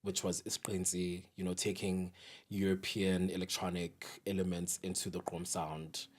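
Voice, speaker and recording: Young male podcast guest, very low voice, South African accent, candid conversational delivery. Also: little pitch movement, moderate pace, a little hiss or hum. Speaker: guest DJ and music producer. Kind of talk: casual podcast interview.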